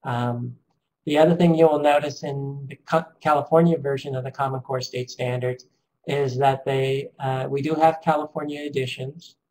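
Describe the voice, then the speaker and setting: Raspy-voiced man presenting a webinar. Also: medium pitch, steady tone, darkish tone, a little hiss or hum, poor audio. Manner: hesitant, many ums, measured. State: strained voice.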